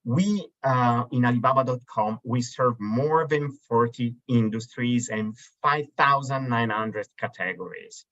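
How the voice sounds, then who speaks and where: A man's voice: medium pitch, measured pace, hardly any pauses, fairly bright tone. Italian guy in his 30s walking thru slides on a video call, lots of uhs and restarts, muffled lo-fi sound. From Italy. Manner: hesitant, many fillers and restarts. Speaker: webinar host for a marketplace. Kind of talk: presenting slides on a webinar. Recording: dull lo-fi video call audio.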